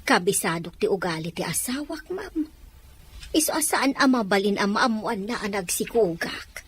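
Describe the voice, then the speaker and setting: A woman's voice: medium pitch, expressive, moderate pace, fairly bright tone, husky. A woman playing a pleading, deferential mother in her forties in an Ilocano audio drama. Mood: earnest, deferential. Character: pleading 46-year-old mother giving up her baby.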